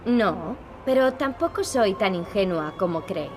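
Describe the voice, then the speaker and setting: An actress playing a serious, determined young princess in a video game. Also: female voice, medium pitch, animated, unhurried, close to an everyday voice. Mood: earnest. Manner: earnest, resolute. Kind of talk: video game dubbing in Spanish.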